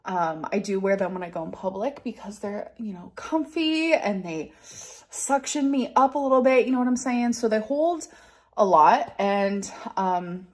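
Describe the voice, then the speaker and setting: A woman's voice: medium pitch, expressive, a little slow, a little mellow. Thirties woman vlogger, rambling, lots of fillers, casual. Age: 30s. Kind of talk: casual personal vlog talk.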